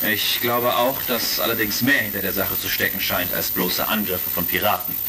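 Heroic space commander: low, gravelly, resolute and shrewd, retro radio play. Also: male voice, fairly even intonation, normal speed, background noise. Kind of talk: retro sci-fi audio drama acting.

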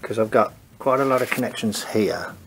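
A man's voice: on the low side, moderate pace, fairly bright tone, a little hiss or hum, poor audio. A man with a head cold, casually talking through his car wiring work in a home garage, hesitant and thinking aloud. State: congested from a cold. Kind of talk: casual workshop walkthrough.

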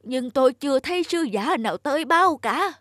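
A woman's voice: sits high, expressive, a little fast, fairly bright tone, no echo, studio quality, pitched above the performer's own voice. A woman voicing a frail, anxious old grandfather in a folk-tale cartoon, with hesitant, fearful delivery. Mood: frightened pleading.

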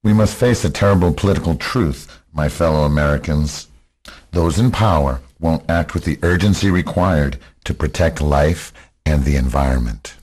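Man acting a very deep, husky rebel leader. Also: moderate pace, darkish tone. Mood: solemn. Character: hard, grim male rebel leader in a radio drama.

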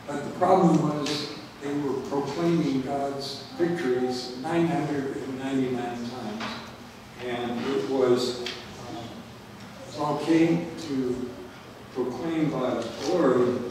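A man preaching a Bible sermon in a halting, hesitant way, often pausing as he works through his notes and quotations. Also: mid-range voice. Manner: halting, hesitant delivery with long pauses. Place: large reverberant church room. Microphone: distant microphone. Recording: echoey hall from far off, noisy.

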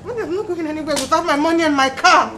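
A woman's voice: very high voice, animated, fairly quick, reverberant, soft background music. A woman with a Nigerian accent playing a desperate, jilted lover, pleading and distressed, in a film drama. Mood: disbelieving, pleading.

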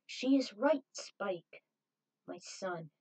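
A woman's voice: medium pitch, lively intonation, very slow, a little mellow, heavily acted. A woman voicing the fatherly spirit of a dead mentor, solemn and encouraging, in a story reading. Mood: solemn urging.

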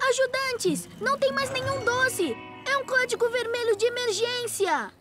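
A woman's voice: very high-pitched, moderate pace. A woman voicing a childlike young talking vehicle in a Brazilian cartoon dub. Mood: alarmed. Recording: music behind the voice.

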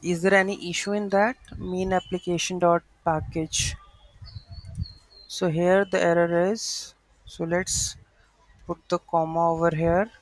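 A man presenting a software tutorial, thinking aloud haltingly as he walks through code on screen. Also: high voice, level tone, dry recording, low-quality recording. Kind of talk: unscripted screen-share coding walkthrough. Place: voice-over to a screen recording.

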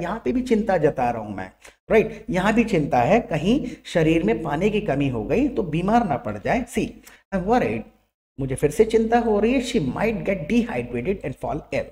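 A man's voice: sits high, a little mellow. A middle-aged man with an Indian accent, teaching English on a headset mic, explaining patiently and often checking in with listeners. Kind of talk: explanatory lesson.

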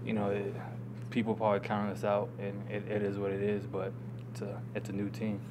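A young man in his twenties speaks low and fairly evenly at a press conference, repeating words as he works through understated answers. A clearly noisy room sits behind his voice. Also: brisk. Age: 20s. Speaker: college basketball player. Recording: noisy room with steady hum.

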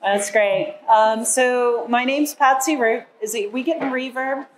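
A middle-aged woman introducing herself at a seminar podium in a friendly, loud voice. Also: on the high side, unhurried, a little hiss or hum, poor audio. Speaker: seminar presenter. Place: university lecture hall. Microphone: podium microphone.

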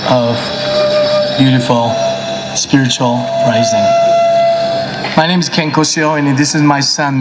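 A man's voice: mid-range voice, rough recording. A man with a Japanese accent, a musician telling a loose, rambling story from an outdoor stage into a stand mic. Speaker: musician speaking at a pilgrimage. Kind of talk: informal speech from a stage. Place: outdoor stage with mountains behind. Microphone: stand mic, far camera. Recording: live outdoor PA with music behind.

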